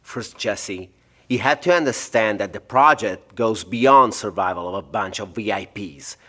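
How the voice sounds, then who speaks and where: An actor playing a measured, guarded doctor in an audio drama, careful and explanatory. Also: male voice, on the low side, bright, forceful tone.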